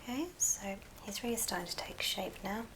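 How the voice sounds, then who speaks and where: A woman speaks in a soft whisper over clearly audible background noise and small clicks. She talks through a hands-on craft in an ASMR style, at a middling pitch for a woman. Her short phrases come unhurriedly, with pauses between them. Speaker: ASMR craft video host.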